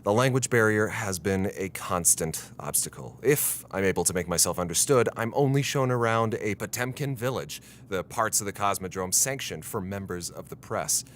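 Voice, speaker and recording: Casting: frustrated, brooding American reporter, 1960s radio drama, deep-ish voice, reflective narration, ambient noise behind. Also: male voice, expressive, normal speed, hardly any pauses, a bit bright. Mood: matter-of-fact, frustrated. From United States. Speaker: reporter narrating an audio diary.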